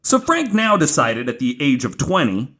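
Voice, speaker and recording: A man's voice: a little high, very animated, moderate pace, pushed and bright. A man hosting a podcast, telling a story in an informal, joking way with some swearing. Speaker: podcast host telling a true crime story. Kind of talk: casual storytelling from notes.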